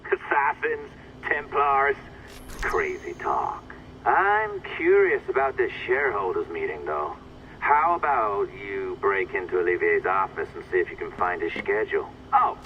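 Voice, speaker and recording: A man's voice: mid-range voice, pushed and bright, highs cut off, slight background noise. An actor playing a sly, cocky blackmailer in a video game, mocking and sardonic as he gives orders. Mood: sly, musing, then menacing, taunting. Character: sly male blackmailer, cocky, taunting conspirator.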